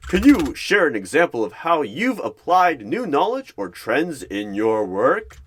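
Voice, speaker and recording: A man's voice: fairly high pitch, sing-song, measured pace, husky, voice pushed up, full character voice. A man playing a nervous, defensive corporate recruiter in an interview roleplay, talking in emphatic, stilted office language with stumbles. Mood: earnest, businesslike.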